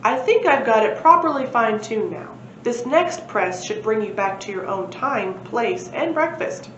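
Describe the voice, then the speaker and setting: A woman's voice: lively intonation, moderate pace. A woman voicing a proud, cheerful male stegosaurus professor in a children's storybook, emphatic and confident. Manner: booming, emphatic, brightly confident. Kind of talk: children's storybook read-aloud. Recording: roomy, muffled, faint background noise.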